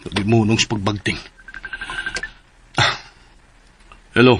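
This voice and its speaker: A man's voice: on the low side, fairly even intonation, takes it slowly, noisy background. A male actor playing a father searching for his wife in a Philippine radio drama, with a Cebuano Filipino accent. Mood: worried.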